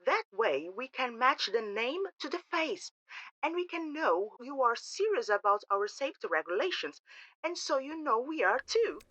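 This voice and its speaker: A woman's voice: high-pitched, sing-song, moderate pace, a bit bright, no echo, heavily acted. A woman playing a saccharine, gushing receptionist for a secretive organization in an audio drama, with a syrupy sales manner, over a phone call. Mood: gushing, persuasive. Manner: effusive, sales-like, syrupy familiarity.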